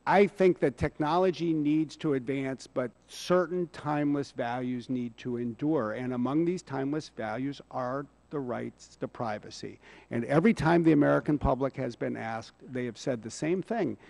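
A middle-aged man testifying at a hearing, speaking in a measured, persuasive way into a table microphone in a large hearing room. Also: mid-range voice, gravelly, a little mellow. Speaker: hearing witness. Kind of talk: congressional testimony.

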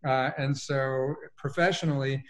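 A man's voice: medium pitch, steady tone, a little slow. Male panelist, forties, articulate storyteller, restrained intonation. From Colombia, moved to the United States as a child. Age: 40s. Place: living room at home.

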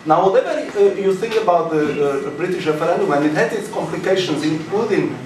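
Higher-voiced man speaking in an echoey room. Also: animated, a little fast. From Czechoslovakia. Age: middle-aged. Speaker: academic panelist. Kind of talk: answering questions in a panel discussion. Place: seminar room, indoors. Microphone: far camera. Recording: roomy, distant mic, faint noise.

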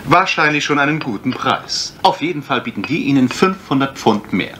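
An actor playing a brisk, wry husband turned amateur detective in a German radio mystery, polite, dry and assured. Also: male voice, mid-range voice, animated, pushed and bright. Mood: businesslike, courteous. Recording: faint noise, retro radio play.

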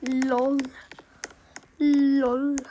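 A woman's voice: sits high, dark tone, a little hiss or hum. A woman hosting a casual gameplay video, teasing a friend playfully and often dropping into whispers. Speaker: gaming channel host.

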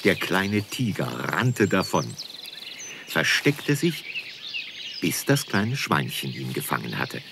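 A man with a gravelly voice narrating a scripted children's story. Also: low voice, very animated, takes it slowly, noisy background.

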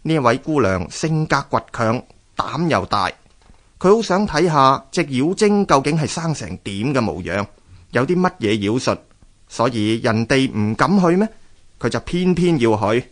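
Animated male Hong Kong Cantonese narrator. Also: medium pitch, a little slow. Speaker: radio narrator. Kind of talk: scripted documentary storytelling narration.

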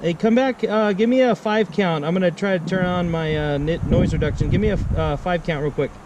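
American man, middle-aged, higher-pitched, casual radio-operator chat, repeated call phrases, noisy outdoor camera sound. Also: a little fast, muffled recording. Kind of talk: casual radio chat while demonstrating gear. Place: outdoor picnic table on a wooded mountain. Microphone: camera on the table nearby. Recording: outdoor camera audio with clear noise.

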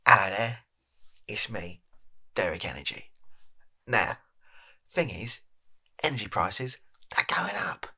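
A young man acts out an evasive British male energy company boss in a comedy monologue. His voice is fairly low and husky, yet bright and close. He speaks softly and haltingly, with shameless deadpan self-justification. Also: heavily acted. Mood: matey, scheming. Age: young adult. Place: small home bedroom studio.

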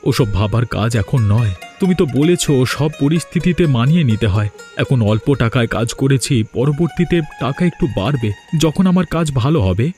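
A man's voice: medium pitch, lively intonation, brisk, darkish tone, soft background music, lightly acted. A man voicing a humble, hardworking village husband in a cartoon, speaking in an earnest, explanatory way. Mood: placating. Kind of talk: cartoon dialogue.

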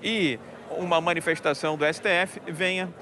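A man's voice: fairly high pitch, steady tone, normal speed, bright, forceful tone. A man in his thirties reporting live outdoors at a crowded event, speaking into a handheld mic through a face mask, chatty with many fillers. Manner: chatty, many fillers, addresses anchor by name. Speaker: field reporter. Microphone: handheld mic, wearing a face mask. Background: crowd murmur.

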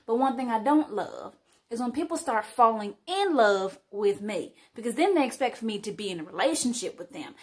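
A breezy party girl, acted by a woman, talks about her good times with carefree sass. Her voice is a little high and husky. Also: lively intonation, a little fast, a little mellow. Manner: carefree, sassy. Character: party-loving, commitment-shy young woman.

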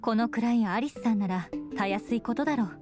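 A woman voicing a composed young female knight leader in an anime-style game, formal and measured. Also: on the high side, over loud music, natural voice. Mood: composed, serious.

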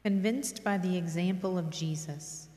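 A middle-aged woman reads prayers and meditations with solemn reverence. Her voice is a little low and her pace is slow. It rings out in a large, echoey church with long reverb. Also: mellow. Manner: measured, reverent. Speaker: reader of a church devotional. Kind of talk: solemn scripted devotional reading and prayer. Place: large church interior, at a lectern. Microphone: microphone on lectern stand.

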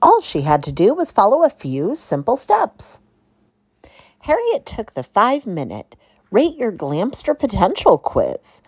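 A woman reading a children's picture book aloud as storyteller, with dramatic, deliberate emphasis. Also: mid-range voice, wildly swooping pitch, breathy edge, no echo.

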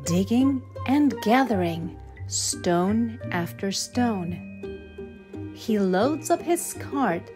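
A woman reads a rhyming picture book for children. Her delivery is extremely slow and deliberate, broken into short measured phrases. Faint instrumental music plays behind her. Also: medium pitch, expressive. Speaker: storybook narrator. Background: instrumental backing music.

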